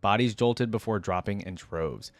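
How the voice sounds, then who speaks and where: A man's voice: fairly low pitch, normal speed, no room sound. A man reacting as he reads a story aloud, emphatic in delivery, dropping in casual commentary. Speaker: host of a reaction channel.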